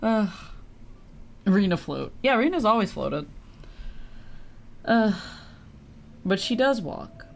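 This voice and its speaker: A woman's voice: medium pitch, very animated, slow, long pauses. A woman giving casual, offhand commentary while live streaming a game, with a wry manner and game sound behind her. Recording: clear game ambience noise behind.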